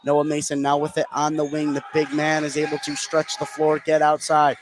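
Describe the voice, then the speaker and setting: A man's voice: a little high, completely flat delivery, normal speed, noisy background. A man doing live play-by-play commentary on a basketball game. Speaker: play-by-play sports announcer. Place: indoor high school basketball game.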